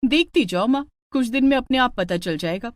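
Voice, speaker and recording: A woman's voice: fairly high pitch, expressive, normal speed, lightly acted. A woman voicing a young daughter-in-law in a story, polite, plaintive and bewildered. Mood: determined.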